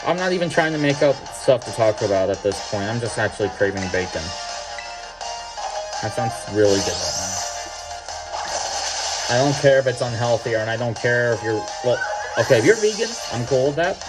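A man's voice: medium pitch, expressive, normal speed, rough recording. A young man giving casual, rambling gameplay commentary, drifting off topic and swearing freely. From Mexico. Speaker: gaming YouTuber. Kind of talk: casual let's-play commentary. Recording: loud game music behind the voice.

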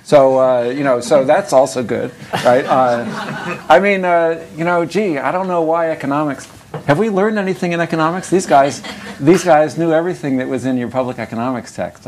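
An older man giving an academic lecture. He talks in a loose, conversational way, drifting into asides and dry jokes at a medium pitch. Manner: conversational, digressive, wry asides.

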